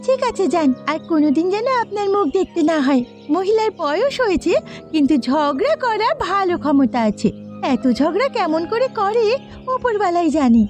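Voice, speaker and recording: Woman voicing a shrill, nagging young wife. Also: big pitch swings, moderate pace. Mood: scornful, angry. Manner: sharp, nagging. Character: sharp-tongued, nagging young village wife and daughter-in-law. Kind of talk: melodramatic cartoon voice acting. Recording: music behind the voice.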